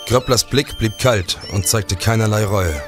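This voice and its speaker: An actor playing a serious police detective in a period radio play, sober and reflective. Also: male voice, fairly low pitch, a little slow, music in the background, natural voice. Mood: sober, shaken. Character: serious male 19th-century police detective recounting his case. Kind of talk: radio play narration and acting.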